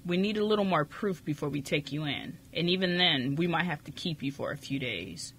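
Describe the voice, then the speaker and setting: A man playing a skeptical police officer in an amateur radio drama, measured and formal as he questions a suspect. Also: fairly high pitch, expressive, fairly bright tone, breathy edge, heavily acted. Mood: skeptical, procedural.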